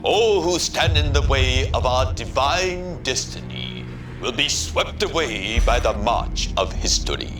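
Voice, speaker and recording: Man acting a slow, imperious imperial warlord. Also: mid-range voice, animated, lightly acted. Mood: cold menace. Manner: solemn, menacing, pompous. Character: imperious, merciless male commander of an invading empire. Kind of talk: dramatic video game cutscene speech. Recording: music behind the voice.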